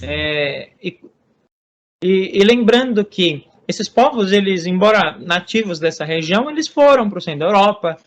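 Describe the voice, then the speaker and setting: High-voiced Brazilian man explaining. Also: lively intonation. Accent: Brazilian Portuguese. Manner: measured, many hesitations and restarts. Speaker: author and guest on Norse religion. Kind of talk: explanatory interview talk.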